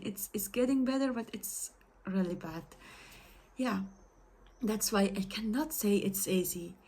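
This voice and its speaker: A woman's voice: mid-range voice, dark tone, breathy edge. A young woman with a Persian accent, a native Persian speaker, talking casually and hesitantly to her followers in a study vlog. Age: young adult. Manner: hesitant, halting, many fillers and self-corrections. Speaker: study vlogger. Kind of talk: casual talk to camera.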